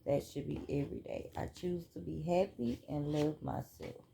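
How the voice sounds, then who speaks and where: A woman chatting casually through a spiritual card reading at home, relaxed and talkative. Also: low-pitched, moderate pace, gravelly, a little hiss or hum. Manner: relaxed, chatty, reads cards aloud. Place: home room.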